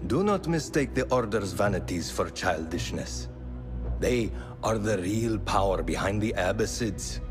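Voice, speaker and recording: Wry mentor-like ally: fairly low, cautioning voice for game cutscenes. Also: male voice, lively intonation, unhurried, over music. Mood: wry, stern. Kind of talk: dramatic video game acting.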